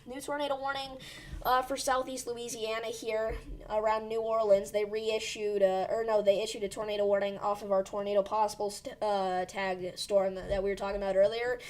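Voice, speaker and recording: A woman's voice: medium pitch, little pitch movement, a little fast, a little mellow. A woman hosting a weather livestream who is losing her voice, giving urgent but reassuring storm commentary with many fillers. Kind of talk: live storm-tracking commentary.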